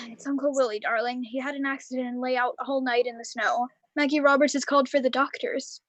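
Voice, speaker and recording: A woman playing a worried, brisk mother in a live radio drama, speaking softly. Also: high voice, steady tone, no echo. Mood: grave, reproachful.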